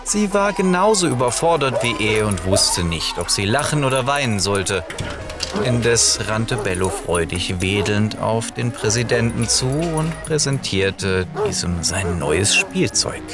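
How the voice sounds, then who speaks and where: Man narrating a story, very lively pitch, music behind. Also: measured pace. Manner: animated, expressive reading. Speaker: audiobook reader. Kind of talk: dramatic short-story narration.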